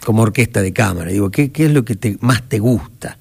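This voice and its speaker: A man hosting a radio interview. He asks questions in a lively way and adds warm asides. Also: fairly low pitch, brisk, gravelly, husky. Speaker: radio host.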